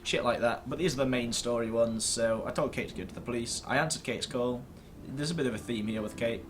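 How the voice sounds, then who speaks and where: A man's voice: mid-range voice, a little fast. Man, mid-range voice, casual gaming commentary, rambling, self-deprecating, noisy home recording at a computer. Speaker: host of a gaming video series. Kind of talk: casual gameplay commentary to camera. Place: indoors at a computer screen.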